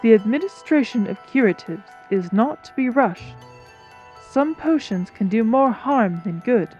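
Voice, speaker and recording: A woman's voice: fairly high pitch, animated, unhurried, mellow. A woman playing an imperious, stern healer and teacher in a dramatized fantasy story, speaking in a formal, commanding way. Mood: stern, scornful. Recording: slightly dull microphone, music behind.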